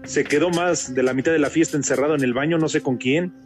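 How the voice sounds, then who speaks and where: Mexican male co-host, moderately high voice, informal banter over soft music. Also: fast. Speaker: sports radio co-host. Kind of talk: joking casual chat.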